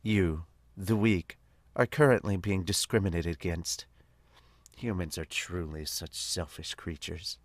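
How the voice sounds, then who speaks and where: A man voicing a menacing, haughty elf invader in an amateur manga dub, cold, measured and pompous. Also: sits low, steady tone, no echo, pitched below the performer's own voice.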